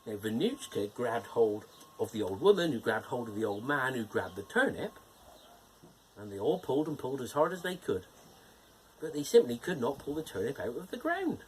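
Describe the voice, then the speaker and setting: A man playing a cheerful, lively gnome storyteller. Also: mid-range voice, normal speed, a little hiss or hum, close to an everyday voice, rough recording. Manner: animated, playful storytelling with teasing jokes. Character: cheerful male gnome storyteller, friendly and playful. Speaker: storyteller host. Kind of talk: bedtime fairytale reading.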